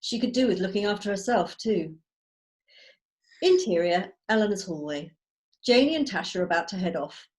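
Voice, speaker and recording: A woman reading out screenplay stage directions as measured, storytelling narration. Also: mid-range voice, lively intonation, darkish tone. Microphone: video call.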